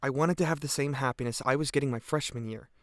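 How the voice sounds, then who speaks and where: A man's voice: mid-range voice, fast talker, dry recording, studio quality, natural voice. Earnest, guilt-ridden teenage boy played by a man. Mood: earnest, defensive. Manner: hesitant, reflective, earnest. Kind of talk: audio drama monologue, police interview testimony.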